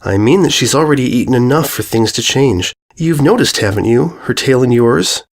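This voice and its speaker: A man voicing a kindly, regretful female pony schoolteacher, gentle and measured with a foreboding calm, in a storybook reading. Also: mid-range voice, close to an everyday voice. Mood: sad, ominous.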